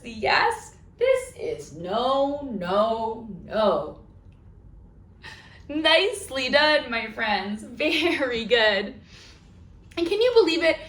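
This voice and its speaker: Female storytime host for little kids: high, very lively, sing-song delivery, unhurried, in an echoey room. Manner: sing-song, exaggerated, talking to young children. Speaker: children's librarian, storytime host. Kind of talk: read-aloud storytime for young children.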